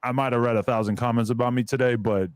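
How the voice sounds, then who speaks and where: A man's voice: mid-range voice, fairly even intonation, fast, no room sound. A man hosting a podcast, interviewing in a casual, reflective, informal way with some mild swearing.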